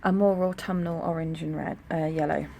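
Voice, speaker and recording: A young woman with a croaky, gravelly voice and a lowish pitch talks in a relaxed, rambling way while she decorates her planner. She records at home, and faint traffic hum sits under her voice. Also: moderate pace. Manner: chatty, rambling, soft-spoken. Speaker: planner vlogger. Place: home room near a busy road. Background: traffic noise.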